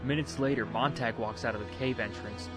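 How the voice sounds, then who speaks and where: A man's voice: mid-range voice, fairly even intonation, fairly quick, loud music behind the voice. A man presenting and narrating his own amateur audio drama, in storybook style with informal announcements.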